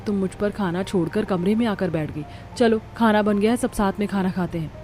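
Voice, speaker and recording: Woman acting a gentle, patient elder sister-in-law. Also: mid-range voice, a little fast, slight background noise, lightly acted. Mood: coaxing. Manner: soothing, coaxing. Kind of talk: Hindi animated family-drama story acting.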